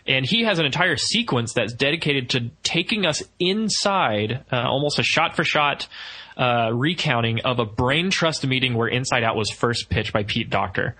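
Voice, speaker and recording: A man with a bright, crisp-edged voice hosts a podcast. His pitch sits in a middle male range, and he moves between conversational explanation and reading aloud. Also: animated, moderate pace. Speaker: podcast host.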